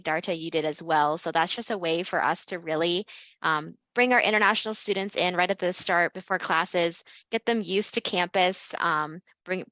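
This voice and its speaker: A woman's voice: lowish voice, sharp tone, no echo, highs cut off. A woman hosting a university interview, upbeat and chatty, with many ums and likes. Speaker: university international admissions host.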